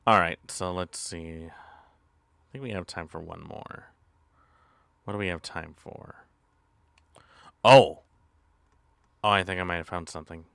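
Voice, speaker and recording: A man streaming and chatting casually as he reacts to videos, with halting, drawn-out phrasing. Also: low voice, fairly even intonation, creaky, fairly bright tone, clear, resonant tone, dry recording, clean studio sound.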